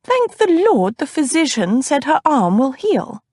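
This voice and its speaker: A woman reading an audiobook, voicing a fussy, fretting older woman chaperone in a high, fluttery, huffing voice. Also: very animated, a little slow, darkish tone, dry recording, clean studio sound. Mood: fretful. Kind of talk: audiobook character voice.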